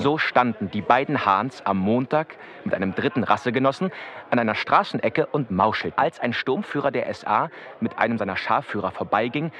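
A man's mid-pitched voice , an actor's, reads as a 1930s newspaper reporter in a radio play. The delivery is scripted, formal and precise, with a smug edge. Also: a bit bright, lightly acted.

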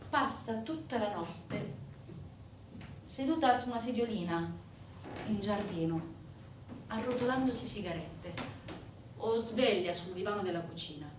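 A woman performing a dramatic theatrical monologue, intense and emphatic, swinging from hushed to loud. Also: medium pitch. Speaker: stage actress narrating a monologue. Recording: roomy, distant stage recording with noise.

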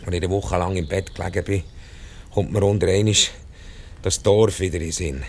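An older actor with a Swiss accent playing an ailing, ruthless rich emigrant in a radio drama, halting, blunt and short of breath. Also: male voice, low-pitched, fairly even intonation, a little mellow. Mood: pained, confessing. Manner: halting, blunt, world-weary monologue. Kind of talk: radio drama acting in Swiss-coloured German.

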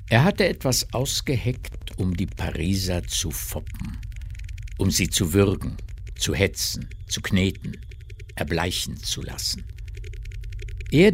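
A man's voice: on the low side, darkish tone, a little hiss or hum. A man narrating a literary radio drama from a script.

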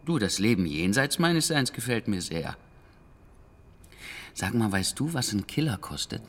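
An actor playing a world-weary, despondent betrayed husband, hesitant and brooding, in a German radio drama. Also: male voice, fairly low pitch, sing-song, measured pace, slight background noise. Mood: bitter, resigned. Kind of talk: German radio drama dialogue and inner monologue.

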